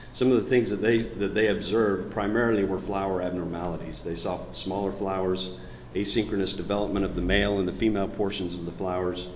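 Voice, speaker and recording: A man's voice: low voice, a little mellow, slight background noise. A man with a chest cold giving a research presentation, walking through his slides and pointing out details. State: chest cold, voice deeper than usual. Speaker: crop researcher presenting findings. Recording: roomy echo.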